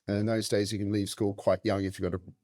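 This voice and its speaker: A man's voice: fairly low pitch, level tone, fast, mellow, no echo, studio quality. A middle-aged man with a New Zealand accent, telling stories casually as an interview guest. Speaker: interview guest, meat specialist and butcher. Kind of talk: casual conversational storytelling.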